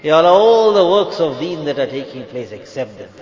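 A man's voice: on the high side, very animated, darkish tone. Echoey, pleading man leading fervent prayer. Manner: pleading, emotional, drawn-out invocations; switches between English and Urdu. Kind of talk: fervent public prayer in a religious gathering. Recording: echoey hall with long reverb, dull sound.

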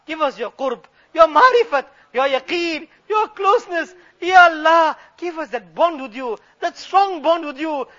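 A man's voice: extremely high pitch, bright, forceful tone. A man leading an impassioned supplication before a gathering, pleading fervently and repeating his refrain again and again. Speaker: prayer leader. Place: gathering of worshippers. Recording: dull lo-fi recording.

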